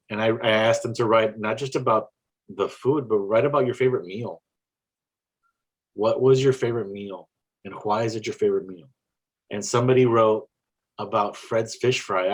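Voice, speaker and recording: A man with a South Texas accent talks about his poetry on a podcast. His voice sits low, and he pauses and restarts often as he thinks aloud. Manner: reflective, halting, with many restarts and fillers. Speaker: poet guest. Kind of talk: casual interview chat.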